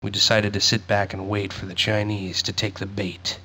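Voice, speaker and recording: A man plays a grim veteran soldier looking back on a war for a video game. His voice is low and his delivery solemn and measured, like a scripted memoir. There is some noise behind him. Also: flat delivery, close to an everyday voice. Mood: grim, reflective. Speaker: narrator.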